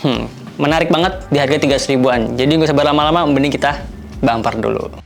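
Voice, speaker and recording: A man's voice: medium pitch, quick pace, gravelly, faint music behind. A man with a colloquial Jakarta Indonesian accent hosting a product review in an informal, explanatory way. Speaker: product reviewer, video host. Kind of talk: casual scripted gadget review.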